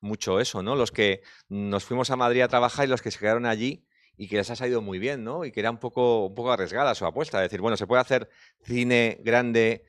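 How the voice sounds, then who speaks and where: A man from the Basque Country, a film director, talking quickly and thinking aloud in a festival press interview. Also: mid-range voice, no room sound. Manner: fast, talkative, thinks aloud with restarts.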